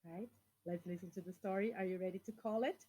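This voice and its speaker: A woman's voice: medium pitch, quick pace, dark tone, breathy edge. A woman reading a rhyming picture book aloud expressively, speaking directly to young children. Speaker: children's story reader.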